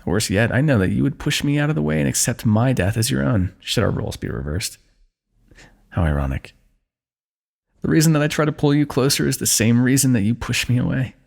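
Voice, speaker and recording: A young man speaking softly and intimately in a fantasy romance roleplay, tender and earnest, with sighs and small laughs. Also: medium pitch, expressive, a little fast, creaky. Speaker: ASMR roleplay narrator.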